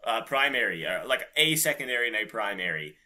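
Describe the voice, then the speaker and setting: A man's voice: fairly low pitch, sing-song, a little fast, bright, forceful tone. A man co-hosting a podcast in casual, sweary banter, breaking into laughter often. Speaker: podcast co-host.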